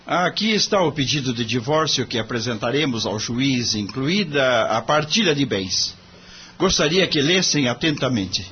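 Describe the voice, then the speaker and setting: A man's voice: medium pitch, animated, normal speed, bright, forceful tone. A Brazilian man playing a courteous, idealistic young lawyer in an audio drama, formal and earnest. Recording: muffled lo-fi audio, background noise.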